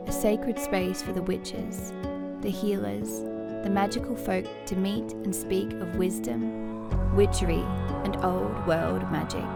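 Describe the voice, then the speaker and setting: A woman reading a scripted podcast intro in a slow, soft, mystical way. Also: mid-range voice, vocal fry. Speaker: show intro narrator. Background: guitar and new-age music.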